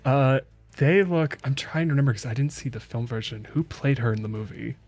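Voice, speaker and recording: A man's voice: medium pitch, brisk, darkish tone, soft background music. A man running a tabletop game as game master, chatty and enthusiastic and laughing often.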